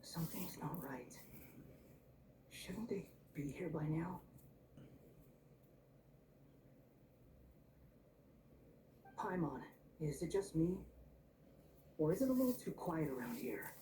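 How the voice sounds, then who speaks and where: A woman's voice: low-pitched, expressive, measured pace, long pauses. A woman voicing a blunt, brooding young loner in a video game, terse and dry but grudgingly helpful. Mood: suspicious. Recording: distant, noisy captured game audio.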